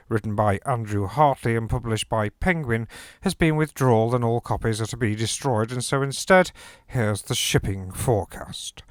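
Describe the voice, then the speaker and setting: Man playing a dry-sounding, deadpan radio announcer. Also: mid-range voice, smooth, no room sound, lightly acted. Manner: deadpan, formal. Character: formal radio continuity announcer, deadpan, oversharing.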